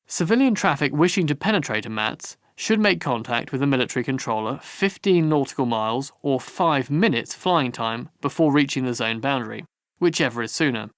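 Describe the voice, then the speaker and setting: A man reading scripted instructional narration for a training course in a measured, formal manner. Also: medium pitch, fairly bright tone, no echo, studio quality.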